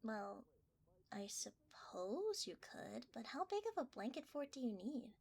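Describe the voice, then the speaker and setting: A woman playing a flustered, winded delivery worker in a hesitant, breathy ASMR roleplay. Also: on the high side, big pitch swings, unhurried, a little mellow, exaggerated voice. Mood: chatty, evasive. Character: flustered, winded young blanket delivery worker, chatty and shifty.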